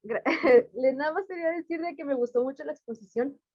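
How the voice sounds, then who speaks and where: A woman's voice: high voice, a little fast, no echo, highs cut off. A woman from Mexico with a Mexican accent, giving a hesitant, chatty and grateful comment as an attendee on a video call. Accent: Mexican Spanish. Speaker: online event attendee.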